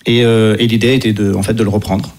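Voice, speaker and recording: A man's voice: on the low side, level tone, fast talker, darkish tone. A man in his thirties from Italy, speaking as an interview guest in a casual, meandering way and often rephrasing as they go. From Italy, born to French parents. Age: 30s. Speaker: interview guest, actor and stage director.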